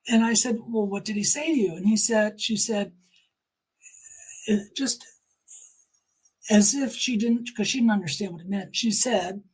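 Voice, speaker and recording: Earnest middle-aged woman, slightly husky. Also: medium pitch, unhurried, pauses often, darkish tone, rough recording. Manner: earnest, emphatic storytelling with acted-out quotes. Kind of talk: personal testimony in conversation.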